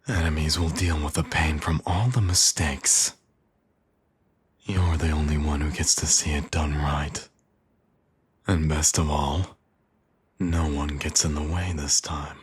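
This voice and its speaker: A man playing a smug, seductive villain and doting father in an intimate ASMR roleplay, murmuring in a teasing, sly way. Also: full character voice. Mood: smug, menacing. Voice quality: husky, very deep, breathy at times.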